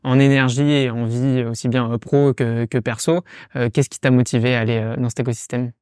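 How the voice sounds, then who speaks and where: A man's voice: mid-range voice, little pitch movement, fairly quick, a little mellow, smooth, dry recording, studio quality. Hesitant man hosting a podcast. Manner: friendly, hesitant, frequent "euh" fillers. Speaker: podcast host. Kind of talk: casual interview hosting.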